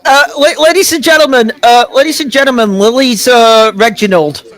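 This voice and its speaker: A man co-hosting a live reaction chat, excitable and laughing often, doing mock announcer introductions. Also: very high-pitched, normal speed.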